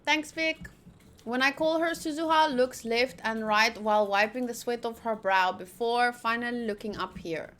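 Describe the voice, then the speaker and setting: A woman's voice: a little high, normal speed, fairly bright tone, slight background noise. A woman streaming a game, reading its text aloud and chatting casually with playful asides.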